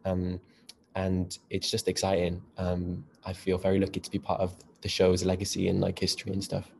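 A young man, talking in a very deep, flat and gravelly voice. He chats in a camp, chatty way full of asides, with a faint hiss behind him. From North West England. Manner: chatty, camp, quick asides, says darling and girl. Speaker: actor, interview guest. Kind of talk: casual interview chat.

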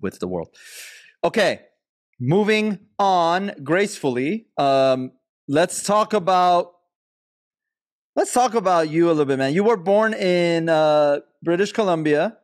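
A man's voice: high-pitched, measured pace, no room sound. Dry-sounding male podcast host. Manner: animated, swearing, restarts often. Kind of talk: casual podcast interview.

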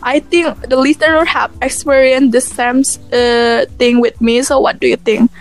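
A woman from Indonesia with an Indonesian accent, asking questions in simple, halting English during a casual chat. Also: sits high, level tone, soft background music.

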